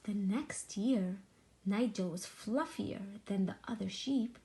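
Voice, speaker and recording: A woman's voice: mid-range voice, slow, mellow. A woman reading a picture book aloud to young children, expressively and simply, with short explanatory asides.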